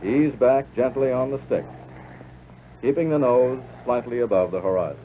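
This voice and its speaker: An American man reading scripted instructional narration for a 1940s military training film in a measured, instructive way. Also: mid-range voice. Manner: measured, instructive, addressing the listener as pilot. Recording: tinny band-limited 1940s film soundtrack, loud noise.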